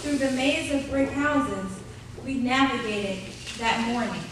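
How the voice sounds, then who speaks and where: A woman's voice: a little high, unhurried, natural voice. An actress playing a wry grown woman who narrates memories of her childhood in a live radio play. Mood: reflective. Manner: wry, dramatic storytelling. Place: live on a theater stage. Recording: distant, very echoey hall with noise.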